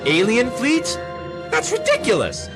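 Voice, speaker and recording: A man acting a brisk, earnest, commanding time-travelling hero in an audio drama. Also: very high-pitched, huge pitch swings, heavily acted. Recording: loud music behind the dialogue.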